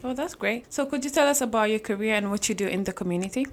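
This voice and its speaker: A woman's voice: a little high, quick pace. A woman hosting a community podcast interview. She asks questions politely, encourages her guest, and often adds short affirmations. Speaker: podcast host.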